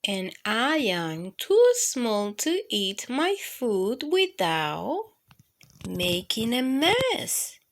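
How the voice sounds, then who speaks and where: A woman's voice: fairly high pitch, sing-song, extremely slow. A woman hosting a children's storytime, reading picture books aloud. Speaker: children's storytime host and reader. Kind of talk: read-aloud children's picture book narration.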